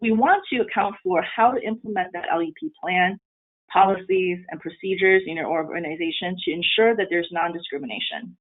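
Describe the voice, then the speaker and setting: A woman's voice: fairly low pitch, brisk. A woman from Taiwan whose first language is Mandarin Chinese, presenting a training webinar in an earnest way with frequent ums and restarts. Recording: tinny phone-line audio.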